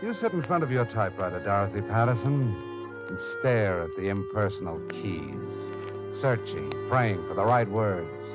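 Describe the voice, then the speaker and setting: Male actor as solemn radio-play narrator, deep-set delivery, deliberate and theatrical, dull vintage recording over prominent music. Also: animated, lightly acted. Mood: solemn, stirring. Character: earnest dramatic male narrator addressing the heroine as you. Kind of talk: old-time radio drama narration.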